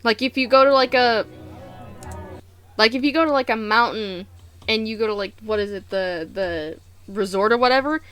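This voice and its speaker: A woman hosting a reaction video, commenting casually in an animated, exclamatory, slangy way. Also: on the high side, normal speed, clean tone.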